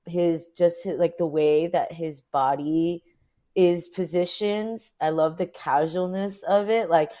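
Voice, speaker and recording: A woman's voice: lowish voice, steady tone, slow pace, mellow. An American woman being interviewed as a photographer, talking casually and informally, thinking aloud as she goes. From Orange County, United States.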